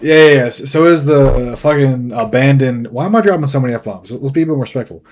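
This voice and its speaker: Crass dead cartoon fish, male actor: strained throat, sarcastic, halting rambles, swearing, music behind. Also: medium pitch, a little mellow, natural voice. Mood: sheepish, probing. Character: crass, rambling recently deceased fish, foul-mouthed and sarcastic. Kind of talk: loose improvised comic character acting. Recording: music under the voice.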